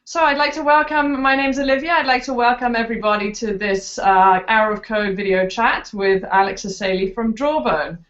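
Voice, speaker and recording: A woman's voice: a little high, moderate pace, hardly any pauses, fairly bright tone. A woman moderating a school video chat, polite and a little hesitant with fillers as she introduces people. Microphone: video call. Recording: dull lo-fi video call audio.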